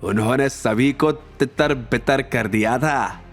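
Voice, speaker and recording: A man's voice: mid-range voice, slow, faint music behind. A man voicing a boastful reformed underworld hitman in an audio drama, speaking in a dramatic, awed storytelling manner. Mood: awed, reverent.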